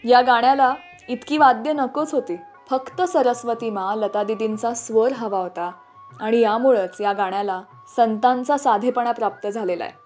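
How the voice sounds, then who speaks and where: High-voiced woman narrating over music. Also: fairly even intonation, moderate pace, a little mellow. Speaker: radio show host. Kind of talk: scripted music storytelling narration. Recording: music playing under the voice. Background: background music.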